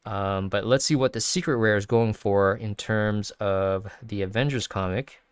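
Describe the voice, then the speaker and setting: A man's voice: lowish voice, fairly even intonation, moderate pace, clean tone, dry recording, studio quality. A man hosting a casual video, thinking aloud with many fillers and restarts while sniffly from sneezing. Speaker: crypto video host. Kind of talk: casual screen-share commentary.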